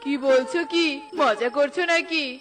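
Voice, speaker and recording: A woman voicing gentle, helpful male figures in a cartoon in a kindly, reassuring manner. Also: high-pitched, a little slow, music in the background. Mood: curious. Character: cloud king and helpful bird husband, gentle male figures.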